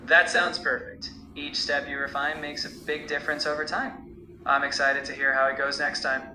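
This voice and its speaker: Bright-toned AI tutor voice, male. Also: fairly high pitch, little pitch movement, roomy sound, a little hiss or hum, poor audio. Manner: warm, measured, coaching. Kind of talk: encouraging spoken feedback.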